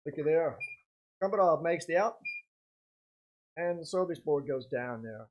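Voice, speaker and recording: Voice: mid-range voice, expressive, a little slow, darkish tone. A commentator calling a live baseball game in a casual, folksy, conversational way, with short exclamations between bits of chat.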